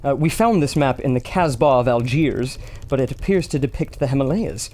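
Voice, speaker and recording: A man's voice: mid-range voice, animated, normal speed, darkish tone, lightly acted. A man playing a polite, modest, youngish scholar adventurer in a pulp audio drama, speaking in a courteous, earnest way.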